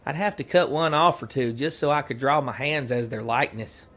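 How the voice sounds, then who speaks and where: An actor playing a cheerful, simple-minded young outlaw sidekick who rambles guilelessly in a folksy rural American Western drawl, in a comedy audio drama. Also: male voice, mid-range voice, a little fast, fairly bright tone, lightly acted. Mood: chirpy, musing.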